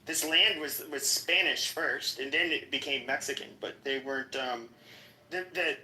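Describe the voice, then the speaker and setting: A man debating politics informally, challenging others and often halting as he builds his point. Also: mid-range voice, pushed and bright. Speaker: debate participant. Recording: roomy echo with background noise.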